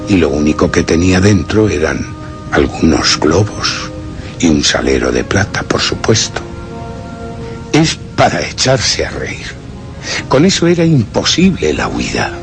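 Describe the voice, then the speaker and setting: A man's voice: low voice, exaggerated voice. An actor with a Castilian Spanish accent playing a tormented man who reasons obsessively in a brooding, formal monologue for suspense radio theatre. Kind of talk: dramatic first-person suspense radio theatre narration.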